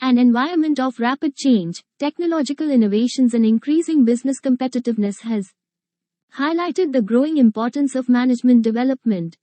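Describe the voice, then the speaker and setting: A woman's voice: high-pitched, brisk, long pauses, warm tone, no room sound. A woman reading a formal text aloud. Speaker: reader.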